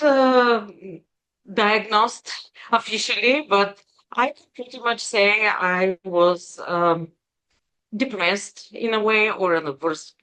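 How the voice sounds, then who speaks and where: A woman in her forties with a Bulgarian accent, a guest telling her story in an interview, hesitant with many pauses. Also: lowish voice, fairly bright tone, rough recording. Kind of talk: interview conversation.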